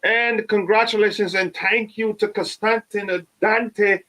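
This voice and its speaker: A man, hosting a live fan stream and reading viewer comments, halting with many fillers, his voice still touched by a cold. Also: high voice, fairly even intonation, pushed and bright. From Nigeria. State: recovering from a cold. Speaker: fan channel host.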